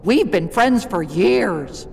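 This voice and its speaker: A high, bossy stone gargoyle. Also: male voice, lively intonation, slow, creaky, a little mellow, slightly rough, heavily acted. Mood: fond concern. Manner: scolding, wry, emphatic. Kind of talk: video game voice acting. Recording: long reverb, game music behind.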